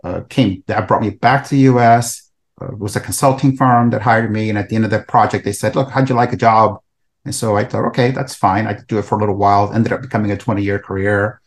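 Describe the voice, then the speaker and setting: A man's voice: fairly low pitch, steady tone, quick pace. A middle-aged man from El Salvador, an author answering interview questions in a thoughtful, conversational way. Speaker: author and researcher guest.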